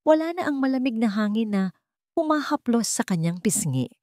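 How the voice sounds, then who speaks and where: A woman storyteller narrating a scripted romantic drama in deliberate, dramatic short phrases. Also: a little high, very animated, dark tone, dry recording, clean studio sound.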